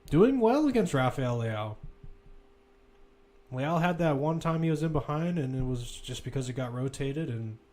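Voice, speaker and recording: A man's voice: medium pitch, animated, normal speed, darkish tone. A man giving live football play-by-play commentary, describing the action as it runs, with asides and self-corrections.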